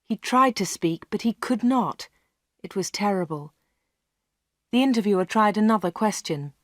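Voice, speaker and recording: Brief: woman narrator, slow and clear for learners, dry close studio mic. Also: mid-range voice, lively intonation, dry recording. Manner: clear and measured. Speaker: audiobook narrator. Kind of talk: slow read-along storytelling for learners.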